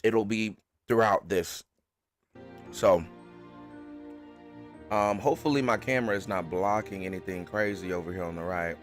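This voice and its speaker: Deep-voiced man streaming casually. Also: moderate pace, pauses often, faint music behind. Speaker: streamer and reaction host. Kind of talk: casual live stream chat.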